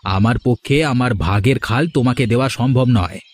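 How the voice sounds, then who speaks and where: A man's voice: mid-range voice, a little fast, close to an everyday voice. A man voicing a curt, suspicious rival. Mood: hostile. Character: suspicious, hostile rival villager. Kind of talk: dubbed cartoon dialogue. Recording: music in the background.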